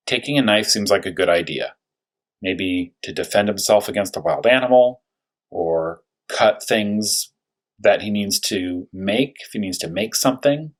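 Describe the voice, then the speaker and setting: A man with a low, husky voice speaks as a primary school teacher during a story read-aloud. He explains things simply for young children, wonders aloud in questions, and draws out important words for emphasis. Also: fairly bright tone. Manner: thinks aloud with rhetorical questions. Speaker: first-grade teacher reading a story aloud.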